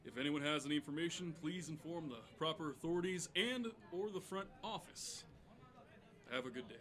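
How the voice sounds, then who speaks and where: A man playing a worried father and high school principal in an audio drama, formal and under strain. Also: brisk, close to an everyday voice. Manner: forceful, formal.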